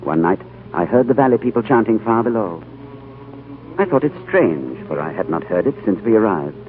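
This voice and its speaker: A tinny old radio broadcast with music carries an earnest young male hero. His voice is low and swings expressively as he speaks and narrates with reverent, thoughtful drama. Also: very animated, moderate pace, a little hiss or hum. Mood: reflective, hushed. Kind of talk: 1940s radio drama acting and first-person narration.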